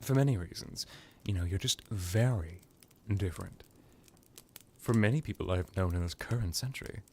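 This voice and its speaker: A man's voice: low voice, moderate pace, a little mellow, slight background noise. A man playing an ancient vampire lord in an ASMR roleplay, seductive, teasing and menacing, with a theatrical delivery that drifts into whispers. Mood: admiring.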